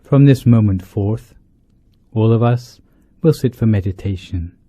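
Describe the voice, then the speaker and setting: A man, a monk leading a guided meditation, speaking in deliberate short phrases with pauses between them. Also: lowish voice, mellow, slightly rough. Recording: dull lo-fi, roomy echo.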